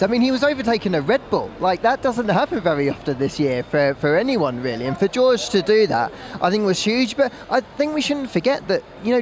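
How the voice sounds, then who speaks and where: A British man with a high voice offers casual, opinionated sports analysis. There is faint outdoor noise behind him. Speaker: motorsport pundit.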